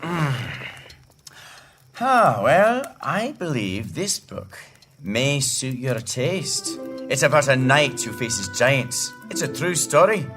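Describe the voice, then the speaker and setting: Slow, expressive fatherly storyteller. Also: medium pitch, soft background music. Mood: playful. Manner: theatrical, deliberate. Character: fatherly storyteller reading to children, expressive, patient. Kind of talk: storybook narration within audio drama.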